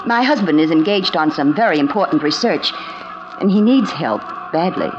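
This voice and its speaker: An actress playing a nervous, pleading scientist's wife in a 1940s radio drama. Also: female voice, on the low side, lively intonation, normal speed, natural voice. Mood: anxious. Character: anxious, dutiful wife of a scientist. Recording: noisy, dull old radio recording.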